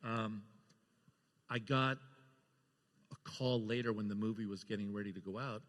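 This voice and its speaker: A man's voice: lowish voice, fairly even intonation, moderate pace, fairly bright tone. Man spinning anecdotes in an echoey hall. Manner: acts out dialogue within his stories. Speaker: guest film-maker sharing production memories. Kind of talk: casual anecdotal storytelling. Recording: echoey hall with long reverb.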